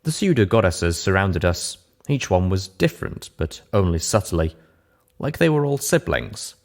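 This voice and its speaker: Casting: male audiobook narrator, very low-pitched, scripted first-person storytelling. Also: big pitch swings, moderate pace, vocal fry.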